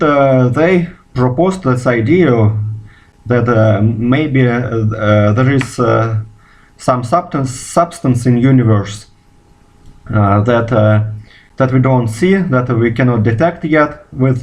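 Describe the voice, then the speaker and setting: A man's voice: mid-range voice, a little mellow. A Russian man speaking English as a second language, a physicist talking unscripted to camera, stopping often on "uh" fillers and restarts. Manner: halting, many "uh" fillers and restarts. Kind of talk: unscripted video response.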